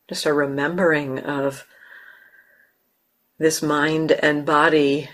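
A woman with an American accent, a meditation teacher leading a guided meditation slowly and softly, with long pauses. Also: sits low. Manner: slow, soft, calm, with long pauses. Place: meditation hall.

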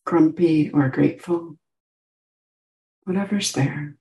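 A woman teaching a guided meditation, giving gentle instructions with long pauses between short phrases. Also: deep voice.

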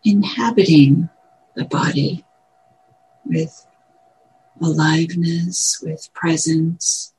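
Woman, meditation teacher, very slow pace, gentle measured phrases, long pauses, clear background noise. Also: deep voice, fairly even intonation, poor audio.

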